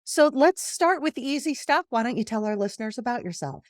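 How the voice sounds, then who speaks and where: A woman's voice: on the high side, very animated, brisk, no echo, studio quality. Upbeat woman hosting a podcast. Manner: upbeat, welcoming interviewer asking guiding questions. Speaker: podcast host. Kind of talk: conversational interview.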